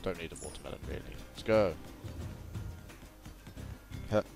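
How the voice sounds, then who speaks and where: A man's voice: low voice, moderate pace, gravelly. A man giving casual, playful let's-play commentary, chatty and full of exclaimed sound effects. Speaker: gaming video host. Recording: game music behind, doubled game audio. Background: video game music and sounds.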